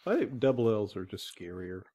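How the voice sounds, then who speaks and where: A man's voice: on the low side, lively intonation, normal speed, mellow. A man interviewing a guest as podcast host, chatting informally and jokingly with loosely phrased questions. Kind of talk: casual interview chat.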